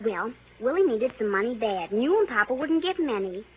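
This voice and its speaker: A little girl with a high, very animated voice. She chatters and tattles eagerly, piling up run-on sentences with childish importance. It is old radio drama, tinny and narrow. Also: brisk, husky. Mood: important, insistent. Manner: breathless run-on storytelling, childishly insistent. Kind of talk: 1930s radio drama acting. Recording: tinny, hissy old 1930s radio recording.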